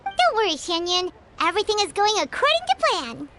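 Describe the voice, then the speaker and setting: Paimon, squeaky tiny fairy girl. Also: huge pitch swings, a little fast, heavy background noise, heavily acted. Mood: upbeat. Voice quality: squeaky, childlike. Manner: speaks of self in third person. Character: Paimon, tiny chirpy childlike fairy companion, eager and cheerful. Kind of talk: video game voice acting. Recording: music behind the voice.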